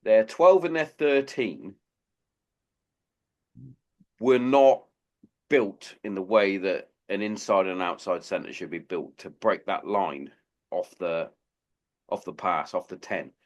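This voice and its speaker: A man's voice: low-pitched, takes it slowly, long pauses, a little mellow. A man hosting a podcast in a casual, blunt and sweary chat. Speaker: podcast host.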